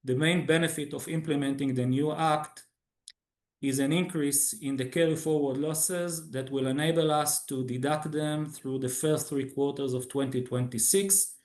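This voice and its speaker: A man who speaks English as a second language, formally reading a scripted financial results presentation as a company executive, with halting pauses. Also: mid-range voice, darkish tone, roomy sound. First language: not English. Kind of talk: scripted earnings call presentation.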